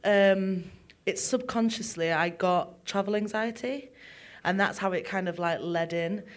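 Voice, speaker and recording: A woman, a musician, talking openly in an interview, moving between soft, quiet moments and loud, animated ones. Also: medium pitch, measured pace. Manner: open, expressive, swings from soft to loud. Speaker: musician guest. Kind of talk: candid interview conversation.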